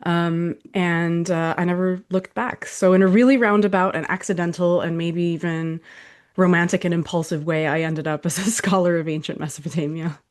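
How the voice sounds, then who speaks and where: A woman's voice: fairly low pitch, steady tone, moderate pace. A middle-aged woman from Saudi Arabia, a historian, giving thoughtful, chatty answers in an interview over a video call. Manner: thoughtful, warm, with soft laughs and fillers. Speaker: historian guest.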